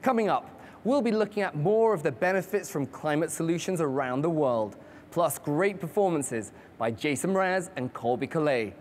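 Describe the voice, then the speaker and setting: Host, mid-pitched voice, upbeat promo read, scripted links between segments. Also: expressive, normal speed, darkish tone, slight background noise. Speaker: program host. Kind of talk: scripted broadcast narration.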